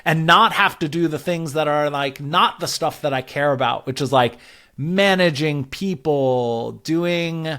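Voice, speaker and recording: A man's voice: a little high, a little slow, sharp tone. A man speaking as an interview guest, explaining advice in a casual, structured way with conversational filler words. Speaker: podcast guest, growth advisor.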